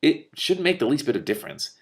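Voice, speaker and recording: A man's voice: mid-range voice, wildly swooping pitch, brisk, voice pushed up. A man voicing a pedantic, easily miffed but curious magician in a storybook, with wordy, formal phrasing. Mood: brisk, insistent. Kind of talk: expressive audiobook-style character reading.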